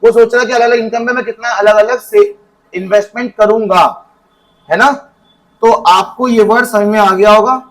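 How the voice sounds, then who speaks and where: Male teacher, high voice, quizzes the class and answers himself. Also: steady tone, rough recording. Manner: asks students questions and answers them himself; reads definitions from the book slowly. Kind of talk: classroom economics lesson.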